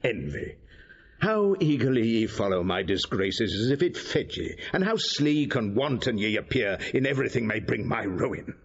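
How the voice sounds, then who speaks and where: An actor playing a proud, scheming cardinal facing ruin, with declamatory, measured classical stage delivery in an audio drama. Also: male voice, medium pitch, very animated, breathy edge, highs cut off. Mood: defiant, scornful.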